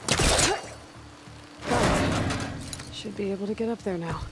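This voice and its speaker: A woman's voice: medium pitch, a little slow, close to an everyday voice. A woman voicing a young, self-reliant female hunter in a video game, intent and often muttering to herself. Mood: musing, puzzled. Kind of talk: video game voice acting, thinking aloud. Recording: game music under the voice. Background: loud game music.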